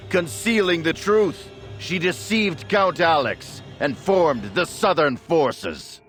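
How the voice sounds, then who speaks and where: Grandiose scheming nobleman, high-pitched and theatrical. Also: fairly bright tone, exaggerated voice. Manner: grandiose, measured, oratorical. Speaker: villain orator in a fantasy game. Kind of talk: theatrical dramatic speech.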